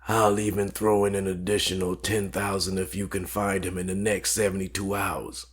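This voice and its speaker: A man playing a smooth, menacing mob fixer in a crime audio drama, speaking in a measured, formal, coolly threatening way. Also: sits low, flat delivery. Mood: cool, controlled menace.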